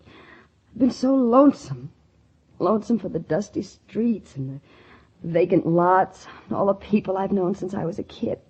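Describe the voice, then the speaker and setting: A woman's voice: medium pitch, measured pace, dark tone, husky. An actress playing an earnest, lovelorn young small-town woman in an emotional, breathless 1940s radio drama style. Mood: regretful, yearning. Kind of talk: 1940s radio drama acting with first-person narration. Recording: muffled 1940s radio broadcast recording.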